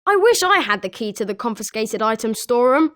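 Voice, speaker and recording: A woman's voice: fairly high pitch, big pitch swings, a little fast, a bit bright. A woman voicing a chatty, opinionated young student at a wizard school in scripted video game lines. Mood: helpful, eager.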